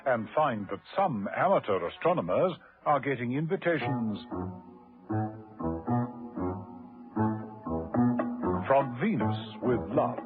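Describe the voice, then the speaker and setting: A male actor as a storyteller narrates a suspense serial on radio with a lively, swooping delivery. His medium-pitched voice sits in a narrow, old broadcast sound over music. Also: normal speed, natural voice. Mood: measured, wry. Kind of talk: scripted radio thriller narration. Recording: band-limited old radio recording with music.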